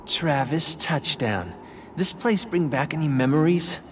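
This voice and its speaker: A man's voice: on the high side, expressive, a little slow. An actor playing a vengeful, hot-tempered young swordsman in a video game, menacing and indignant. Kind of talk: video game cutscene acting. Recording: long reverb, faint background noise.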